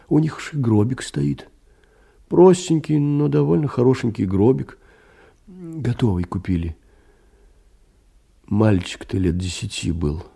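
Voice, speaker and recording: A humble, ageing clerk in a stage drama. His low voice is gravelly and mellow, and he rambles in a folksy, tender way, full of pity. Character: humble, kind-hearted, ageing poor clerk sharing sad news. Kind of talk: theatrical monologue, letters read as drama.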